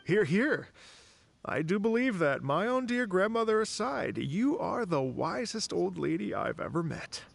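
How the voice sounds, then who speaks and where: A man voicing a courteous, flattering young man in a video game, theatrical and courtly. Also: high voice, unhurried, gravelly, no room sound, full character voice. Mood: admiring.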